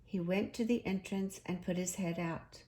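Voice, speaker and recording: A woman narrating a children's storybook in an expressive, deliberate storytelling manner. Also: lowish voice. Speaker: storybook reader.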